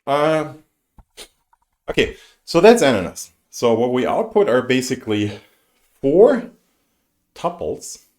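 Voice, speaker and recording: A man's voice: mid-range voice, very animated, takes it slowly, long pauses, a little mellow. A man in his forties, a native German speaker with a German accent, lecturing informally in English and thinking aloud with asides as he explains. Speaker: university lecturer. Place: indoors, live-streamed lecture over slides.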